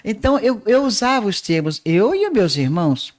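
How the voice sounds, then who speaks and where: An older woman from Northeast Brazil with a softened Northeastern accent, telling her life story as an interview guest in an unhurried way. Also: mid-range voice, sing-song. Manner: unhurried storyteller with small restarts. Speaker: guest, art teacher and radio presenter.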